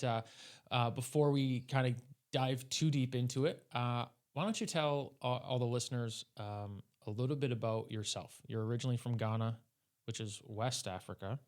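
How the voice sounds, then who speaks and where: A man hosting an interview podcast, talking in a relaxed way with many fillers. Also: mid-range voice. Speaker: podcast host.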